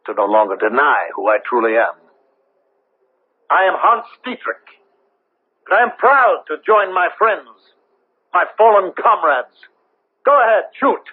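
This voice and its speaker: Husky, unrepentant war criminal. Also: male voice, high voice, heavily acted. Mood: defiant. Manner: defiant, military, swinging from cold to forceful. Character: proud, unrepentant former Nazi SS captain in hiding. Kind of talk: dramatic radio play acting. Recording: tinny, narrow-band old radio broadcast.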